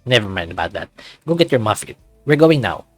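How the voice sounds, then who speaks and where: Man reading a genial, gently bossy male lab colleague. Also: lowish voice, very animated, fairly quick, dry recording, faint music behind.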